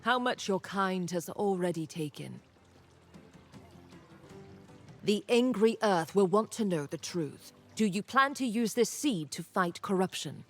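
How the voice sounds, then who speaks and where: A woman voicing a proud, wary, ancient nature spirit in a fantasy game, speaking in a solemn, deliberate, haughty manner. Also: mid-range voice, expressive, soft background music. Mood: probing, disdainful. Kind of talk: dramatic fantasy game dialogue.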